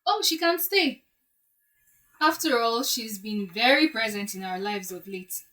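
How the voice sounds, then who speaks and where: A woman acting a cool, defiant and quietly vengeful young bride-to-be in an audio drama. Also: fairly high pitch, expressive, measured pace. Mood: coolly defiant.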